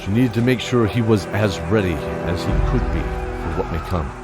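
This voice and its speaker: A man's voice: sits low, moderate pace. A man narrating a scripted fantasy audio drama with dramatic storytelling. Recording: prominent music bed behind voice.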